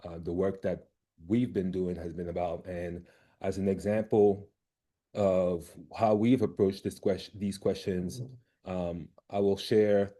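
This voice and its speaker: A man from Cameroon leads a video call, and his voice sits low with a dark, mellow tone. He talks in a halting way, full of "um"s and restarts, as he presents his research. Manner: halting, many fillers and restarts.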